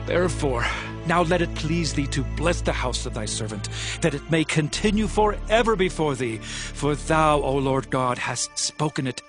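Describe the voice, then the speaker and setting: A man's voice: a little high, expressive, moderate pace, close to an everyday voice. A man playing a humble, devout king at prayer in a dramatized audio Bible, reverent and impassioned. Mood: fervent, pleading. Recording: faint music bed behind voice.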